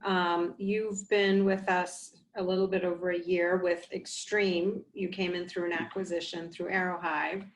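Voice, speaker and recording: A woman's voice: lowish voice, flat delivery, a little slow, few pauses, a little hiss or hum, low-quality recording. A woman in her forties hosting a casual work interview from a home office over a headset, friendly and encouraging. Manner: friendly, encouraging, short affirmations. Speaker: host.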